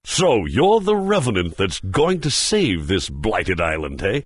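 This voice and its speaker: An actor playing a proud, hearty axe-wielding mercenary in a fantasy game, gruff and husky, with formal, self-assured speech. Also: male voice, sits low, sing-song, unhurried, full character voice. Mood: proud, cordial. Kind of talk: fantasy game character acting.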